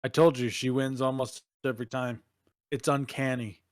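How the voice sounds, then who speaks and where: A man's voice: medium pitch, fairly even intonation, normal speed, no echo, studio quality. A man playing a tabletop game, casually describing what his character does.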